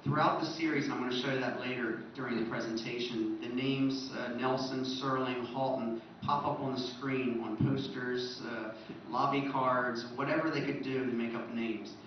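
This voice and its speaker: A man's voice: mid-range voice, steady tone, normal speed, hardly any pauses. A man giving an informal illustrated lecture as a presenter at a fan convention, recorded in an echoey room from a distance. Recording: echoey room, distant muffled mic, faint noise.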